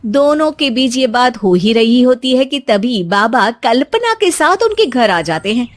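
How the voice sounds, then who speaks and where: A woman with a very high voice narrates a cartoon story. She reads from a script as the storyteller. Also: big pitch swings, measured pace, dry recording, clean studio sound.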